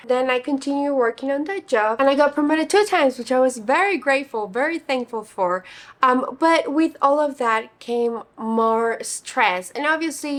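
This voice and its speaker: A young woman in her twenties with a slightly raspy, hoarse voice, chatting casually while getting ready, full of fillers. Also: sits high. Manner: chatty, many fillers like "like" and "you know". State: hoarse from singing. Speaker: beauty vlogger. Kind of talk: casual get-ready-with-me chat.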